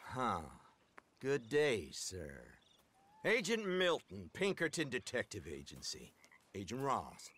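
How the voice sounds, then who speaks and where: An actor playing a coldly polite, smug detective agent in a video game, pompous and mock-courteous. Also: male voice, mid-range voice, huge pitch swings, slow, fairly bright tone, slightly rough, exaggerated voice. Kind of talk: dramatic video game cutscene acting.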